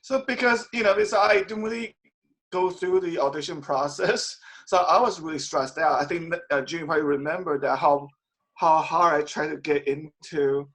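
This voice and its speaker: A man's voice: a little high, fairly bright tone, poor audio. An actor, a man, earnestly recalling his nerves about a role at a cast reunion over a video call. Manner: earnest, laughs while telling. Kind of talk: casual reunion chat.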